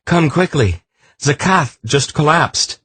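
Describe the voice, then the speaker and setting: A man voicing an urgent young man who gives orders quickly, with a husky voice. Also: lowish voice, expressive, dry recording, voice pushed up, heavily acted. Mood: urgent, shouting.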